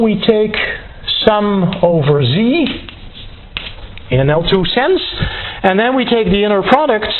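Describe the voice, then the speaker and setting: A man giving a university lecture, explaining step by step in a deliberate, halting way and often repeating and rephrasing his words. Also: sits high. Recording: tinny phone-like band, noise, clicks.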